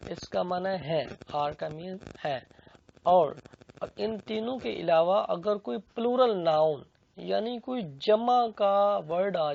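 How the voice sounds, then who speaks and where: Gravelly, unhurried grammar teacher with Pakistani accent. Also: mid-range voice, a little mellow. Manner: methodical, repeats and translates each phrase. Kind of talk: explanatory grammar lesson, bilingual with translated examples. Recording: dull, lo-fi recording.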